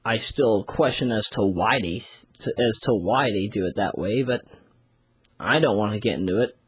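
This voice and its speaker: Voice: medium pitch, moderate pace, breathy edge, no echo. A reviewer giving a casual spoken audio review, rambling and often repeating phrases to restart. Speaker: series reviewer.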